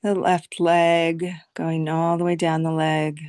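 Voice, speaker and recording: A woman leading a guided visualization meditation as a teacher, speaking soothingly in short phrases with long, unhurried pauses. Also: low-pitched, level tone, a little mellow, clear, resonant tone, no room sound, studio quality.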